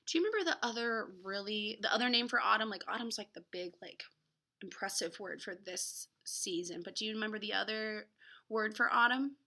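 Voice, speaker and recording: A woman's voice: medium pitch, expressive, fairly quick, pushed and bright. A woman reading a picture book aloud to children expressively, with dramatic emphasis and casual, chatty asides. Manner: dramatic emphasis, gasps and exclamations.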